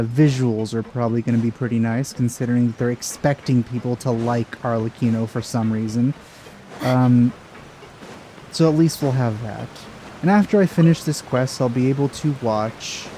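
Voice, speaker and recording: A man's voice: medium pitch, mellow, a little hiss or hum, low-quality recording. A man giving casual let's play commentary in a dry, sceptical manner. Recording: game music behind the voice.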